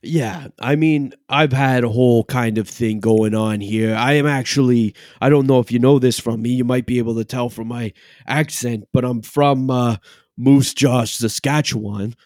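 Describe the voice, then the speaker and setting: A man's voice: medium pitch, steady tone, normal speed, darkish tone, no room sound, clean studio sound, close to an everyday voice. A man playing a brash, self-important male bartender who wants reality-TV fame, talking in a rambling, boastful, mock-modest way. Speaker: guest. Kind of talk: improvised comedy character interview.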